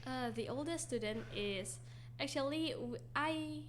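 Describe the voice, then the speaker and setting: A woman's voice: high voice, a little slow. A woman English teacher with an Indonesian accent, speaking as a radio interview guest and explaining patiently, sometimes switching into Indonesian.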